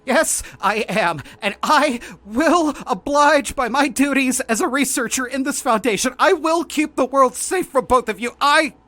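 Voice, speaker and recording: Casting: male actor as a haunted, earnest researcher, crisp forward voice, stammering testimony over faint music. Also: very high voice, a little slow, few pauses, bright, forceful tone, heavily acted. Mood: panicked defiance. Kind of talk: dramatic first-person audio drama narration.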